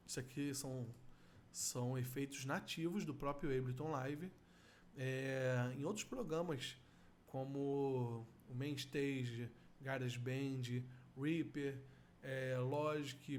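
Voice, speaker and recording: A man's voice: mid-range voice, darkish tone, slight background noise. A middle-aged man with a Brazilian accent, explaining vocal effects as an instructor in a tutorial. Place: small indoor room at a computer.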